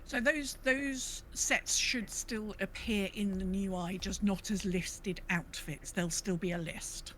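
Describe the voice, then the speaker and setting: A woman's voice: medium pitch, steady tone, takes it slowly, hardly any pauses, fairly bright tone, slight background noise, rough recording. A woman co-hosting a podcast, talking casually through prepared notes. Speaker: podcast co-host.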